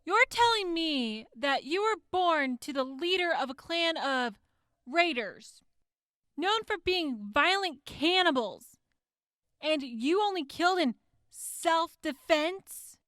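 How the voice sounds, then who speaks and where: Actress doing a needling lady prosecutor, high sharp voice, slow pointed questions, mock polite, radio play. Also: lively intonation, no room sound, studio quality, heavily acted. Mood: accusing, disbelieving. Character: skeptical, needling female prosecutor in wasteland court. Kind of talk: audio drama courtroom acting.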